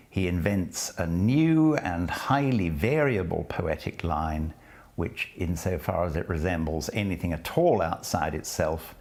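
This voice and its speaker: A man who introduces a poem and then reads it aloud, in a measured, deliberate way, letting phrase ends fall and slipping into soft whispers at times. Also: low voice. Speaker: poetry reader and lecturer. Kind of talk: spoken introduction and poetry reading.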